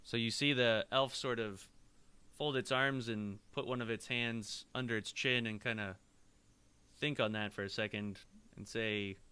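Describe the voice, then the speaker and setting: A man narrating a tabletop game as game master, describing things haltingly, step by step. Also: mid-range voice, steady tone, sharp tone, clear, resonant tone.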